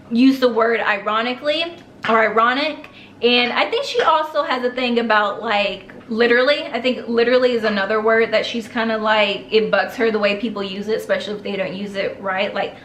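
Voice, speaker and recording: A woman's voice: a little high, fairly even intonation, brisk, a bit bright, echoey room, a little hiss or hum. A young woman giving casual writing advice in a chatty, loose way, full of fillers and restarts. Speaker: vlogger giving writing tips.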